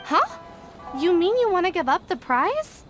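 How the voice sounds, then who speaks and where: A woman's voice: very high voice, sing-song, unhurried, music in the background, heavily acted. An actress playing a courteous, cheerful young prize attendant in a video game, formal in her customer service. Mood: bright, formal.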